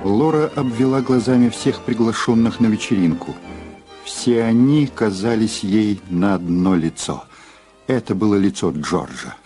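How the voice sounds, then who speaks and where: An actor playing a grave narrator, reading scripted dramatic narration for a crime audio drama. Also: male voice, fairly low pitch, unhurried, dark tone, soft background music, close to an everyday voice. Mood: ominous.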